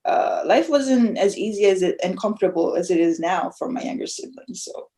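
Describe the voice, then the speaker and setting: A young woman from Tonga, a guest on a podcast, speaking softly and with feeling. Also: mid-range voice, animated, brisk. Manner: soft-spoken, emotional, laughs often. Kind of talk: casual interview.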